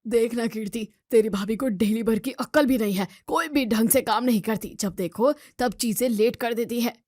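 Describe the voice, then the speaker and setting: A woman's voice: a little high, normal speed, darkish tone, dry recording, clean studio sound, natural voice. A woman playing a short-tempered, fault-finding husband in a family drama, nagging and complaining. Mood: angry. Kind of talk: Hindi family drama voice acting.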